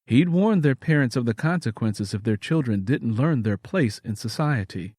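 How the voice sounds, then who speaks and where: A man's voice: medium pitch, expressive, moderate pace, darkish tone, no echo, clean studio sound. A man narrating a scripted audio story in a storytelling style.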